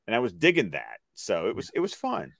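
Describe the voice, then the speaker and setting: A man co-hosting a casual discussion show, explaining references in an enthusiastic, nerdy, halting way. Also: lowish voice, huge pitch swings, creaky, fairly bright tone, no room sound. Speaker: co-host of animated series discussion show.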